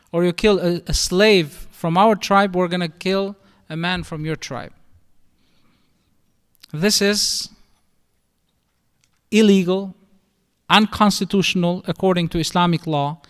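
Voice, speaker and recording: A man's voice: high-pitched, clear, resonant tone. A middle-aged man from northern Albania with an Albanian accent, giving a conversational religious lecture as an imam. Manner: explanatory, conversational teaching with restarts. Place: mosque hall. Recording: reverberant mosque hall.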